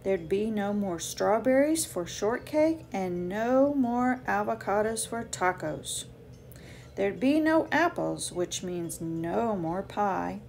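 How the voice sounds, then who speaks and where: A woman reading a children's picture book aloud with expressive, deliberate phrasing for young listeners. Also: mid-range voice, creaky, a little hiss or hum. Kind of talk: read-aloud children's storytelling.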